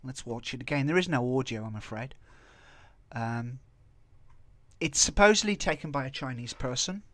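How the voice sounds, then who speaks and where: A man's voice: mid-range voice, expressive, normal speed, a bit bright, no room sound. A man with a Welsh accent hosting a video, giving casual, rambling off-the-cuff commentary. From Wales. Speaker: video host commenting on viral clips and tabloid stories.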